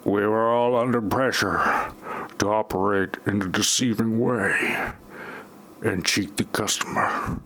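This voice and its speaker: A man's voice: on the low side, fairly even intonation, measured pace, fairly bright tone, a little hiss or hum. A man playing a guilty business executive confessing in an amateur parody audio play. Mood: grave confession. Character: guilty, slow-spoken male executive confessing.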